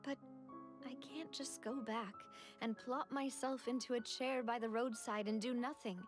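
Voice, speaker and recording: An actress plays a young lady who is mourning yet determined. Her voice is fairly high, polite and earnest. It is video game dialogue with music clearly underneath. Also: steady tone, measured pace, close to an everyday voice. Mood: sad, resolute. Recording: music behind the voice.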